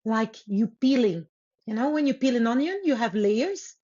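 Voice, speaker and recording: A woman from Congo whose first language is Lingala, telling her life story as a guest author in an earnest, unhurried way with pauses and restarts. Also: on the high side, animated, warm tone, breathy edge. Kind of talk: reflective interview storytelling. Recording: slightly muffled audio.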